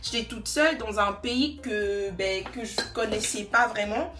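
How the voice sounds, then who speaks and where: A woman's voice: medium pitch, a little slow, echoey room. A young woman from Côte d'Ivoire chatting casually while doing her makeup, rambling and laughing often. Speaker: beauty vlogger. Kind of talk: casual get-ready-with-me chat.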